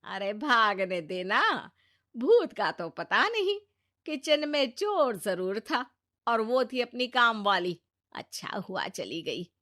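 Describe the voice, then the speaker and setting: A woman's voice: fairly high pitch, very animated, takes it slowly, pushed and bright, exaggerated voice. A woman voicing a shrewd older mother-in-law with secret powers in a moral story, speaking expressively. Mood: suspicious. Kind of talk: acted Hindi moral story dialogue.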